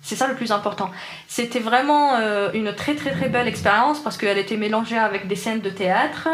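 Algerian-accented woman, twenties, arabic native, earnest, a bit high-pitched, echoey room. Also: brisk. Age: 20s. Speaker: interviewee, engineer. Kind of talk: personal testimony in an interview.

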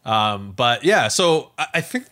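A man hosting a podcast, casually recounting a story in a rambling, animated way. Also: mid-range voice, a little slow. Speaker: podcast host.

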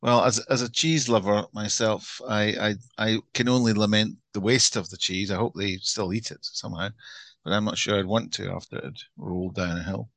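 A middle-aged man from Glasgow with a Scottish accent, co-hosting a podcast and being interviewed, wry and self-deprecating with halting restarts. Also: lowish voice, fairly even intonation, a bit bright, no room sound. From Scotland. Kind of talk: casual podcast chat.

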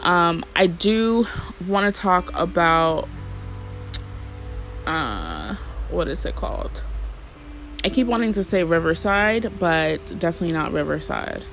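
A casual podcast host chatting solo, rambling from one thought to the next with plenty of fillers and loose, unpolished phrasing. The voice sits at an ordinary middle pitch, and a faint hiss runs under the recording. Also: very animated, slow pace, vocal fry, long pauses, fairly bright tone.

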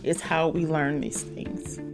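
A woman's voice: sits low, fairly even intonation, slow pace, gravelly, over music. A woman talking conversationally in an interview as a children's author.

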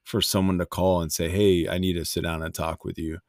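A man co-hosting a faith podcast, talking in a relaxed, rambling way and joking now and then. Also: sits low, brisk, no echo, studio quality. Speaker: podcast co-host. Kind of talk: casual faith podcast chat.

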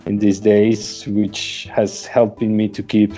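A man from Mexico whose first language is Spanish, speaking English in a relaxed, reflective conversation with frequent pauses and fillers. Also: fairly low pitch, lo-fi sound, faint music behind. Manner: hesitant, many uh fillers, reflective. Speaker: guest. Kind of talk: casual remote conversation.

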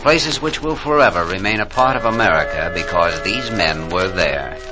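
A man acting as a solemn, reverent narrator in a 1940s radio drama honoring the war dead, speaking in measured oratory. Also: deep voice, lively intonation. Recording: vintage 1940s radio, loud music behind.

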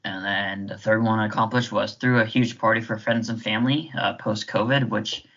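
Bright-voiced easygoing man, lowish pitch. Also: fairly even intonation, moderate pace, muffled recording. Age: 30s. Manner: friendly, laughing, easygoing. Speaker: podcast co-host. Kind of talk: casual podcast chat.

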